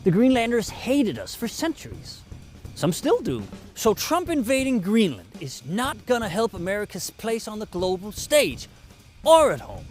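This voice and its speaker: A man's voice: high-pitched, huge pitch swings, moderate pace, darkish tone, soft background music. A man from Denmark, a native Danish speaker with a Danish accent, delivering a scripted comedic address to the camera in a dry, sarcastic, mock-polite way. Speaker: satirical presenter.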